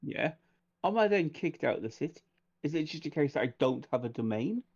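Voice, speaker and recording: A man's voice: on the high side, sing-song, fairly quick, a little mellow, husky, muffled recording. A man playing a tabletop roleplaying game, thinking aloud and asking questions in casual discussion.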